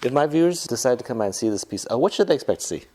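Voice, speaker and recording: A man's voice: mid-range voice, lively intonation, very fast, dark tone. A man working as a radio host, doing interviews and paying effusive compliments. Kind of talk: on-location interview.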